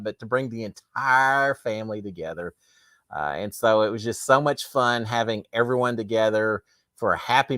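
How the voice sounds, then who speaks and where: Slightly raspy man, lively pitch. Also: dry recording. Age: middle-aged. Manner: rambling with frequent restarts and fillers. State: slightly raspy, tired throat. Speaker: livestream host. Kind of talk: casual live chat answering viewer comments.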